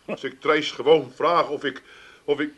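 An actor playing a flustered young Amsterdam husband in a radio play, stammering and apologetic. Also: male voice, on the high side, fairly even intonation, moderate pace, fairly bright tone, husky, dull audio. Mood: sulky.

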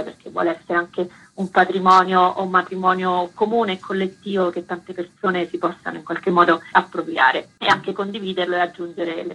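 A woman's voice: lowish voice, sharp tone, slightly rough, poor audio. A woman explaining a project in long, flowing sentences as a guest in a radio interview.

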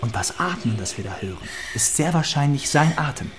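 A man's voice: fairly high pitch, expressive, fairly quick, fairly bright tone, slight background noise. A man playing an eager, teasing young police detective in a naturalistic German radio crime drama, with a wry, conversational delivery. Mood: explaining.

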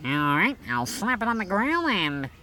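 A man's voice: sits high, sing-song, unhurried, bright, forceful tone, slight background noise, heavily acted. A man playing a naive, obedient janitor in a comedy skit, hesitant and puzzled. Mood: eager. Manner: hesitant, questioning. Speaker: comic sidekick.

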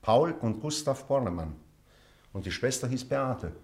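An actor playing a weary, remorseful old male schoolteacher in a literary drama, pensive and measured, with weighty pauses. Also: mid-range voice, expressive, darkish tone. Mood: wry, resigned. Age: older. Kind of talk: German literary stage drama.

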